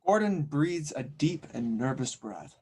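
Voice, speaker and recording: A man with a mid-pitched voice serves as narrator. He reads stage directions and scene headings in a scripted style for an audio drama table read. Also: sing-song, a little slow, darkish tone, slightly rough.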